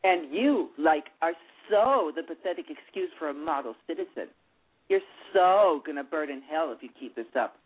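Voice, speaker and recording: A man's voice: on the high side, expressive, moderate pace, breathy edge, voice pushed up. A man putting on a sneering fanatic girl. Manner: mocking, preachy, valley-girl "like". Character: snide, self-righteous religious fanatic girl. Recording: tinny phone-line radio.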